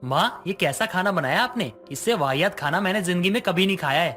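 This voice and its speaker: Woman acting low-voiced rude young son. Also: animated, a little fast, a bit bright, faint music behind. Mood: disgusted. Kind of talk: dramatized moral story acting.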